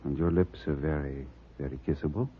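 Deep, husky, formal gentleman client. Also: fairly even intonation, full character voice. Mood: flirtatious. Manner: measured, formal, smooth-talking. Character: suave, flirtatious, uneasy wealthy male client. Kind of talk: 1940s radio drama acting. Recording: muffled 1940s radio recording.

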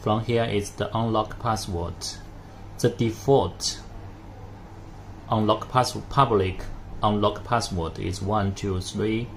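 A man narrating a hands-on product tutorial in a halting, step-by-step way. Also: fairly low pitch, fairly even intonation. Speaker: product demonstrator. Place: indoors at a desk with devices. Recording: noticeable background noise.

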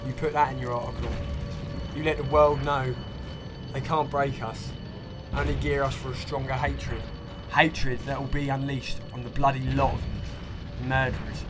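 An actor playing a slangy, defiant British newspaper reporter in wartime London, in a radio drama. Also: male voice, medium pitch, little pitch movement, moderate pace, natural voice. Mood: grim, defiant. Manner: chummy, slangy. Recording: music behind the voice.

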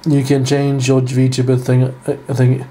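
A man's voice: mid-range voice, completely flat delivery, unhurried, mellow. A man giving casual live reaction commentary, stammering and repeating his phrases. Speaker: reaction video host. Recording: music playing behind the voice.

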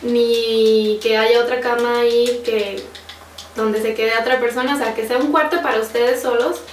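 Young Mexican woman, high voice, chatty and giggly, slightly distant camera mic, roomy living room. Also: steady tone, normal speed, slight background noise. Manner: chatty, laughs often, many fillers. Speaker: vlogger answering viewer questions. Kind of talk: casual Q&A chat.

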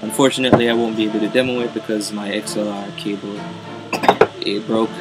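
Lower-pitched young man, casual review. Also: completely flat delivery, brisk, poor audio. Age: young adult. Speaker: keyboard player reviewing music gear. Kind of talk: casual product review to camera. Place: small wood-panelled bedroom, indoors. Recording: music playing behind the voice. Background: instrumental music.

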